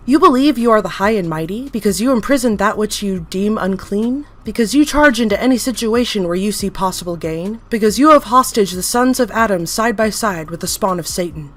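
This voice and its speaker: A woman's voice: medium pitch, very animated, normal speed, faint music behind. A woman voicing an eerie male creature made by a strange god, odd and childlike at first, then zealous and threatening. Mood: menacing.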